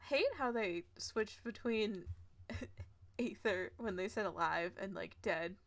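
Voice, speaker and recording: A young woman live-streaming a game, giggling and reading game text aloud haltingly. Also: mid-range voice. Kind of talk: casual live-stream commentary.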